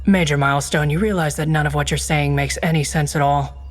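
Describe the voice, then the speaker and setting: A woman's voice: sits low, steady tone, fairly quick, soft background music. An actress playing a serious, skeptical female secret agent with a dry, businesslike manner in a comedic video game.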